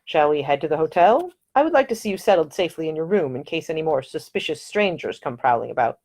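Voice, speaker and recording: A woman voicing a gallant, flattering gentleman spy, speaking in a smooth, courtly and measured way. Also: low-pitched, exaggerated voice. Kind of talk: audiobook character dialogue.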